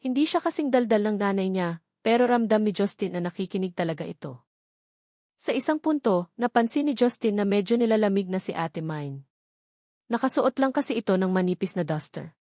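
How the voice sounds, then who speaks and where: A woman narrating a scripted romance story in a steady storytelling manner, slipping English phrases into her narration. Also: medium pitch, brisk, no echo, clean studio sound.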